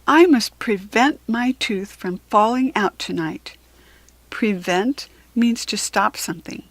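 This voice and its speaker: An American woman reading a children's picture book aloud in an expressive, deliberate, teacherly way. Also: mid-range voice.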